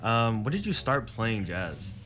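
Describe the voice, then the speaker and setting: Male radio host, lowish voice, short encouraging replies, faint music underneath. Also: sing-song, unhurried. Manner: brief encouraging backchannels. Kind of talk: interview hosting.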